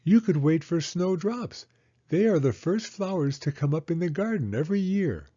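Man as a gentle, higher-pitched mother mouse. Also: expressive, normal speed, slightly rough, muffled recording. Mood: patient, suggesting. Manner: patient, explaining simply. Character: patient, gentle mother mouse reassuring her child.

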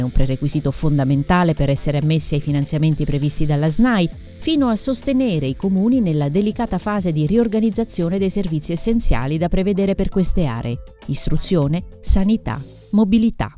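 A woman's voice: low-pitched, animated, fairly quick, dark tone. A woman reporter reading scripted narration for a news report. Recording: music behind narration. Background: background music.

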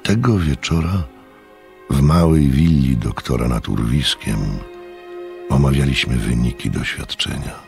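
An actor playing a composed gentleman narrator in a Polish radio play, gravelly, sober and measured. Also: rumbling low, soft background music, exaggerated voice. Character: composed gentleman narrator recalling a strange experiment.

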